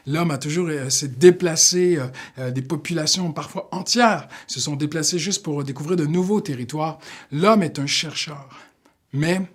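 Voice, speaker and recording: Canadian male preacher, fairly high voice, earnest and halting, slows down for emphasis. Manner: earnest, with many fillers, slowing down for emphasis. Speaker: pastor. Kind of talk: short devotional sermon.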